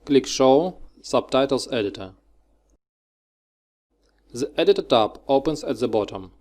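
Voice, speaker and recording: Man giving step-by-step software instructions. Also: medium pitch. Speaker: software tutorial narrator.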